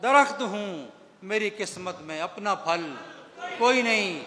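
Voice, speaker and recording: A man's voice: high-pitched, animated, slow pace, a crowd in the background. A man, a poet, reciting a ghazal at a poetry gathering in a large hall, declaiming each couplet, repeating lines, rising on the first line and falling at the end. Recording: echoey hall with long reverb.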